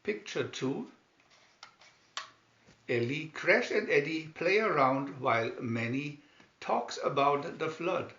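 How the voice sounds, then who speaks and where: A man narrates a picture storybook in a slightly high, very animated voice. He reads deliberately, pausing between short phrases. The recording is dull and roomy, with noticeable echo. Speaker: reader of picture-story booklets. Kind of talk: slow scripted storybook narration.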